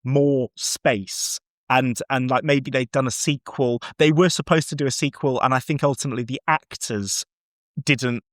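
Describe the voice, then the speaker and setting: A man chatting casually about films, acting out bits of dialogue as he tells it in an animated way. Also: mid-range voice, moderate pace, dry recording, clean studio sound. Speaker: podcast guest film reviewer. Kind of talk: casual, chatty film discussion.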